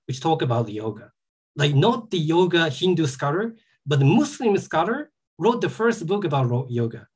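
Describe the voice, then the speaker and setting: A man, a native Japanese speaker with a Japanese accent, giving a seminar talk as an academic. He speaks haltingly, with many "you know" and "like" fillers. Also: a little high, darkish tone, slightly rough. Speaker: academic lecturer.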